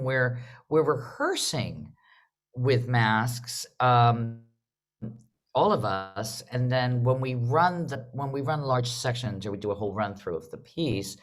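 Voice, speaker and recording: A woman moderates a live theatre discussion in an informal, conversational way. She speaks as the host, putting questions to her guests and responding to them. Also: extremely low pitch. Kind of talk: casual live panel moderation.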